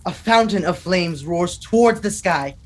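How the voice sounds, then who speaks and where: A man's voice: high voice, expressive, unhurried. An actor playing a desperate, obsessed husband who narrates his horror tale in an intense, melodramatic 1940s radio style. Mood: awed, fevered.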